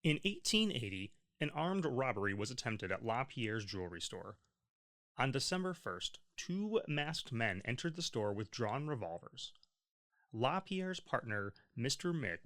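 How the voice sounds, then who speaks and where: A man reading a scripted history narration in a measured, deliberate storytelling manner. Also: mid-range voice, fairly bright tone. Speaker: narrator of a history tour stop.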